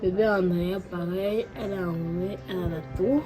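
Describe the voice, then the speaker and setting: Congested woman vlogger, talking casually over clear background music. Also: on the low side, steady tone, normal speed. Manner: chatty, repeatedly addresses viewers as family. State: congested with a cold and cough. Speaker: vlogger mother. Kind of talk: casual family vlog talk.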